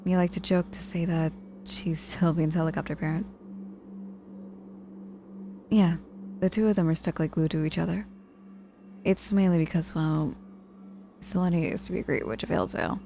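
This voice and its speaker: A woman's voice: fairly low pitch, steady tone, moderate pace, vocal fry, long pauses, dark tone, a little hiss or hum. A woman playing a sardonic but caring young witch in an intimate ASMR audio roleplay, speaking casually and teasingly with feigned reluctance. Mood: wry, chatty.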